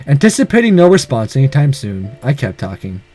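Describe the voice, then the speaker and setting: A man's voice: medium pitch, big pitch swings, fairly quick, warm tone. A man reading a visual novel aloud as dramatic narration, breaking off for sarcastic, profane casual asides.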